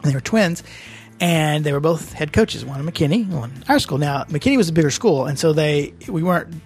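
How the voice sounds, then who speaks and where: A woman's voice: low voice, expressive, a little fast, a little mellow, faint music behind. A woman from Texas with a Texan drawl, chatting casually and telling a story with laughs. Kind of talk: casual chat.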